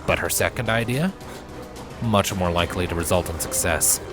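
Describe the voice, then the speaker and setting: A man with a fairly low voice reads an adventure story aloud over faint background music. His delivery is expressive and measured, stretching key phrases for dramatic emphasis. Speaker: fan fiction narrator. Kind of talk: scripted dramatic story narration. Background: background music under the voice.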